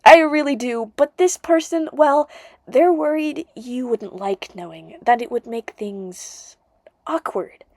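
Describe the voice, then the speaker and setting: Woman reading as an evasive, higher-pitched teenage girl. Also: animated, slow pace, no room sound, exaggerated voice. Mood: apologetic, secretive. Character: evasive, gossip-loving teenage girl friend, pressing then sheepish. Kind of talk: audiobook character dialogue.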